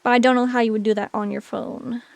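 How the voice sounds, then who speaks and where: Chatty young woman streamer, slightly high voice. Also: level tone, fast, creaky. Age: 20s. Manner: chatty, rambling, many "like" fillers. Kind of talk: casual chat with chat while gaming.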